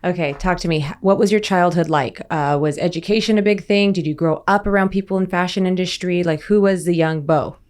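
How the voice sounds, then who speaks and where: A woman hosts a podcast interview in an upbeat, chatty manner. She speaks in a slightly low register and keeps the talk moving with quick questions and interjections. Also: darkish tone. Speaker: podcast host. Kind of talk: conversational interview.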